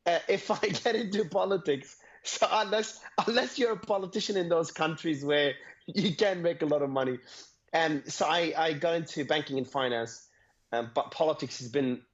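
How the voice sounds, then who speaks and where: Male guest from Australia, a bit high-pitched, quick chatty delivery, dull remote sound. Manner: fast, repeats words, laughs between phrases. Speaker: guest, entrepreneur. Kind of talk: conversational interview.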